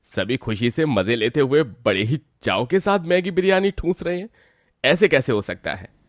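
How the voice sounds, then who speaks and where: A man's voice: medium pitch, very animated, normal speed, dry recording, studio quality, lightly acted. A man voicing a jealous, scheming village noodle seller in a cartoon, sly and gloating. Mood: shocked.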